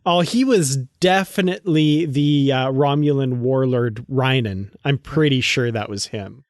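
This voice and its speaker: A man's voice: mid-range voice, measured pace, no room sound, clean studio sound. A man speaking as the co-host of a podcast. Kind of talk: casual podcast chat.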